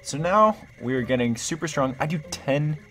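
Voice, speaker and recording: A young man giving casual gameplay commentary in an excitable, slangy way. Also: a little high, normal speed, faint music behind. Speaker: gaming video host.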